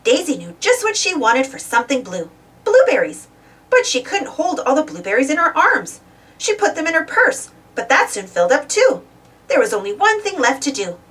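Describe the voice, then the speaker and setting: A woman's voice: high voice, very animated, moderate pace, fairly bright tone, recorded from a distance. A woman reading a children's storybook aloud, expressively and simply for young listeners. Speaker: storybook reader. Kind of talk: scripted read-aloud children's storybook narration.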